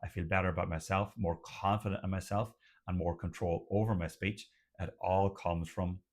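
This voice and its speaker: Low-voiced man who stutters. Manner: stutters at times, slow deliberate emphatic delivery. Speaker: host of a stuttering support channel. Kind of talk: motivational talk to camera.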